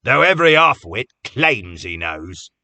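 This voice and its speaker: A man voicing a quarrelsome, sharp-tongued old village man who scolds the people around him. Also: lowish voice, animated, fast, gravelly, breathy edge, no echo, full character voice. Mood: scornful.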